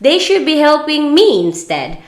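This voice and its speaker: A woman co-hosting a podcast, speaking casually in a halting, stumbling way. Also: high voice, very animated. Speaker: podcast co-host. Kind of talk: reading a story aloud and casual discussion. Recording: echoey room.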